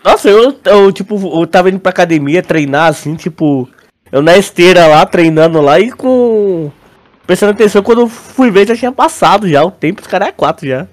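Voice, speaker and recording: A young Brazilian man with a notably high-pitched voice co-hosts a casual podcast. His talk is informal and slangy, peppered with filler words as he chats. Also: lively intonation, brisk. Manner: slangy, with frequent filler words like "tipo" and "mano". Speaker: podcast co-host. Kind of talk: casual chat about a sci-fi audio drama.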